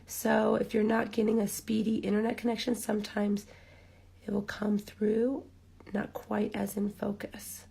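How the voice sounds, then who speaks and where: A woman with a hoarse, raspy voice leading a live craft tutorial, chatty with lots of ums, dropping almost to a whisper as she works. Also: mid-range voice, unhurried. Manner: chatty, relaxed, frequent ums, drops to near-whisper while focusing. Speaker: rock painting instructor.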